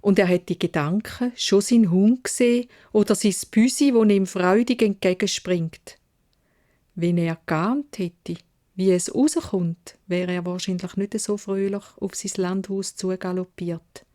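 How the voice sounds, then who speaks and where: A woman's voice: medium pitch, unhurried, warm tone. A woman with a Swiss German accent narrating a children's fairytale in dialect as a storyteller.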